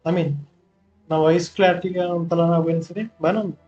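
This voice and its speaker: Telugu-accented man, game streamer, casual, very rapid, high-pitched, muffled mic, game music. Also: little pitch movement, a little mellow. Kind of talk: casual live gameplay commentary.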